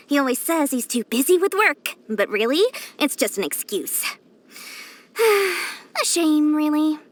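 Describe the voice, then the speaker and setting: A woman voicing a small, greedy, boastful young female merchant in a video game, with smug, theatrical, wheedling sales patter. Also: sits high. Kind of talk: video game character voice lines.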